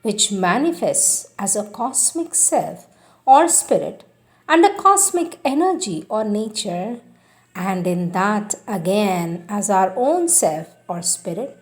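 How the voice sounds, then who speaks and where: A woman reading a spiritual text aloud in a solemn, deliberate, devotional way, with emphatic phrasing and frequent pauses. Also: medium pitch, big pitch swings. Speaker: reader of spiritual texts.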